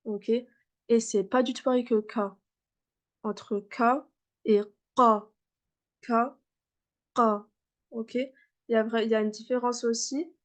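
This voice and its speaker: A woman teaching a beginner class over a video call, patiently repeating sounds for her students, with frequent fillers. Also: a little high. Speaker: Arabic reading teacher.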